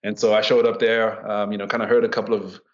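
A man's voice: on the low side, little pitch movement, fast, highs cut off. A middle-aged man from Zimbabwe, a podcast guest telling his story with many fillers and restarts. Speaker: guest, ministry executive director. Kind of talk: conversational storytelling.

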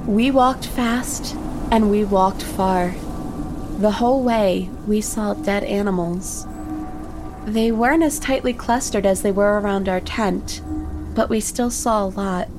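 A woman's voice: mid-range voice, slow, natural voice. A woman playing a young hiker reading her trail journal in horror audio fiction, wry, blunt and rattled, in a conversational, sweary way. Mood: frightened. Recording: music bed under the voice.

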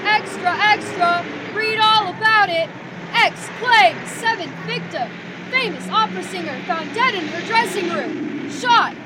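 A woman's voice: extremely high pitch, expressive, unhurried. A woman playing an eager young newspaper seller in an old-time radio drama, calling out clipped headlines like a street crier. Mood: urgent hawking. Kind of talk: 1940s radio drama acting. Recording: clear street traffic noise behind.

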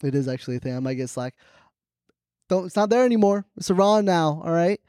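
A man with a distinctly high voice, recorded dry and close. He co-hosts a podcast in loose, slangy banter, teasing and swearing freely. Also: expressive, quick pace, mellow, dry recording. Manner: joking, teasing, slangy, profane. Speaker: podcast co-host. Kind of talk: casual comedic banter. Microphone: close podcast microphone.

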